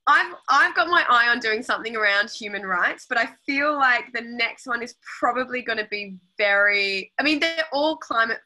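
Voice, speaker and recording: Bright-voiced Australian woman on a video call. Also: on the high side, moderate pace, few pauses, poor audio. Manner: chatty, hesitant, many restarts. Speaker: exhibition curator. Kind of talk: casual video call chat. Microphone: laptop video call.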